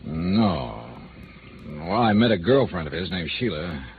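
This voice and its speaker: A low-voiced, hard-boiled American investigator from a 1950s radio drama. He is wry and slangy, with a very lively swing in his delivery, heard through a thin, tinny old broadcast. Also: a little slow, vocal fry, a little hiss or hum, heavily acted. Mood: wry, defensive. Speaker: radio drama lead actor. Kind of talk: 1950s radio noir acting.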